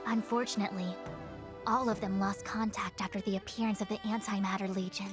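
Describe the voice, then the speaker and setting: A woman's voice: mid-range voice, fairly even intonation, lightly acted. A woman voicing a composed, authoritative seer in a video game, speaking formally and exactingly. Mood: matter-of-fact. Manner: formal, measured, imperious. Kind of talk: game voice acting. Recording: game music behind.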